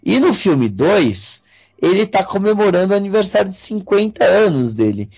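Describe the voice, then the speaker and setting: A man's voice: sits high, very animated, moderate pace, creaky, a little mellow, breathy edge. A Brazilian man in a casual podcast discussion, explaining things in detail as a host. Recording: dull lo-fi audio with music.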